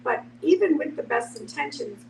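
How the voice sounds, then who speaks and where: A woman's voice: high-pitched, lively intonation, normal speed. A woman leading a group discussion, speaking in a reflective, explanatory way as a host. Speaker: host and care services professional. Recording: noisy room, distant mic, hum.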